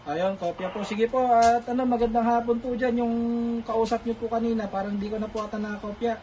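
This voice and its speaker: A man's voice: very high-pitched, fairly even intonation, fairly quick, mellow, slight background noise, poor audio. A polite man making a casual two-way radio call as an amateur operator, repeating himself for clarity. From Philippines.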